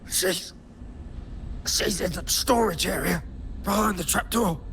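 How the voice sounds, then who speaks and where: An actor with a British accent playing a gruff, sneering henchman in a video game, with a coarse, bullying delivery. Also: sits high, noisy background, heavily acted. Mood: frightened. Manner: coarse, taunting, drawn-out delivery.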